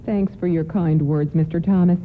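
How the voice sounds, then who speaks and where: A woman's voice: lowish voice, steady tone, moderate pace, natural voice. A woman acting a courteous, hesitant, worried mother in a radio play. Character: polite, worried mother stranded with her children. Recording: lo-fi, faintly noisy radio broadcast.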